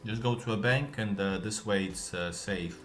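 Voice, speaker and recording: A man with a Russian accent, live streaming and chatting casually with viewers, speaking in a deliberately lazy, relaxed way. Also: lowish voice, reverberant. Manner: intentionally lazy, relaxed way of talking.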